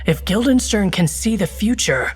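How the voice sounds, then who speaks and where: A woman's voice: medium pitch, lively intonation, normal speed, breathy edge. An actress playing a serious, skeptical female secret agent with a dry, businesslike manner in a comedic video game.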